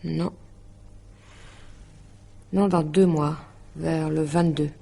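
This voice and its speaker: An actress playing a courteous, hesitant woman who reads horoscopes, measured and polite, in a 1950s-style French radio drama. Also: sits low, fairly even intonation, creaky, mellow, exaggerated voice. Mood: uneasy, hesitant. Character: courteous, hesitant woman translator and amateur astrologer.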